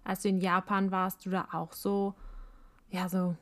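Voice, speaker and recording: A woman's voice: lowish voice, level tone. Young woman interviewer, encouraging. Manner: encouraging, quick to nod along. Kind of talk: casual conversation.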